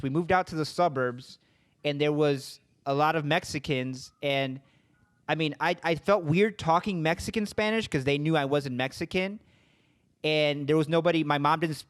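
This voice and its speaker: A man hosting a podcast interview, talking casually with lots of restarts and fillers. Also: fairly high pitch. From Puerto Rican family, raised in US. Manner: rambling, many restarts and fillers. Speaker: podcast host.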